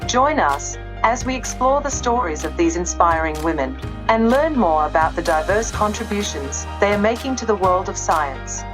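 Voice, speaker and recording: A woman reading a scripted podcast introduction in a lively announcing style. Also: medium pitch, normal speed, hardly any pauses. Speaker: show announcer. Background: music.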